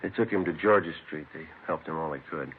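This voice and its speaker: An actor playing a stoic police detective in a 1950s radio crime drama, with a clipped, deadpan delivery. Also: male voice, very deep voice, quick pace, a little hiss or hum. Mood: subdued. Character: stoic, matter-of-fact male police detective sergeant, narrator. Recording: old tinny radio recording, music behind.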